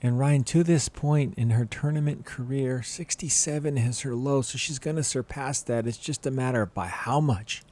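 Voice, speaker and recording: A man's voice: mid-range voice, normal speed, few pauses, a little mellow. A man giving color commentary on a golf broadcast, candid about each shot. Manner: candid, gives frank praise and criticism of shots. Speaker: golf analyst.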